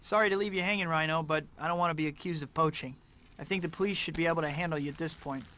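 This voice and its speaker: An actor voicing a wisecracking, eager young student superhero in a video game, with an American accent. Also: male voice, on the high side, racing, pushed and bright. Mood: cocky quipping. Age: young adult.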